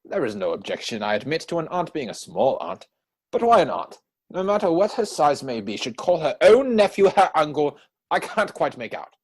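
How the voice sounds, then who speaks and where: A man acts out a witty, idle young gentleman of Victorian stage comedy. His delivery is arch and theatrical, swooping up and down in pitch with a husky edge. He teases and pries in elaborate, formal sentences. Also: sing-song, normal speed. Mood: teasing, accusing. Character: witty, idle young English dandy, playfully prying bachelor. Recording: home recording over video call.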